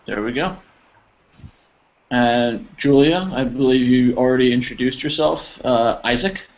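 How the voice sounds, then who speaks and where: Patient man teaching an online English class. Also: medium pitch. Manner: patient, encouraging, explains slowly with examples. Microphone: video call. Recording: slightly dull audio with faint noise.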